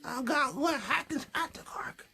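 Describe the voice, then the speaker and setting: A man's voice: very high-pitched, unhurried, gravelly, pushed and bright, voice pushed up, full character voice. A man voicing a sassy young girl puppet who talks in a blunt, childlike, hesitant way in an improvised puppet show. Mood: curious.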